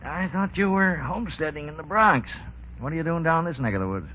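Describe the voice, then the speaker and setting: A man's voice: on the high side, expressive, brisk, slight background noise, lightly acted. A man acting a shrewd American racketeer boss in a 1930s radio drama, with slangy, sardonic, commanding talk. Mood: wry, easygoing. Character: smooth, shrewd male racketeering crime boss. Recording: dull 1930s radio broadcast.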